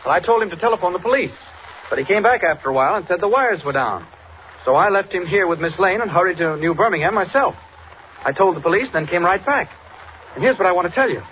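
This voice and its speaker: Earnest, insistent newsman, American, high-pitched quick delivery, vintage radio serial with some noise. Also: animated. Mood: urgent, explaining. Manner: urgent, insistent, direct. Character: earnest, insistent male newspaper reporter, urgent temper. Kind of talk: 1940s radio drama acting. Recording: muffled, noisy vintage radio recording.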